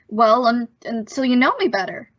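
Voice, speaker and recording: A woman's voice: on the high side, expressive, unhurried, dry recording, dull audio. A woman playing a bashful, hesitant teenage boy in an old-time radio drama, stammering politely. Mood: nervous, hesitant. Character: bashful, evasive returned teenage boy, gushing gee-whiz politeness.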